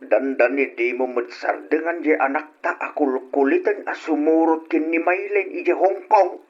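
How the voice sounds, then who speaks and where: An actor playing a mild, anxious elderly husband and father in an old radio drama, with a husky voice. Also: fairly high pitch, steady tone, measured pace. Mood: worried. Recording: dull lo-fi old radio recording.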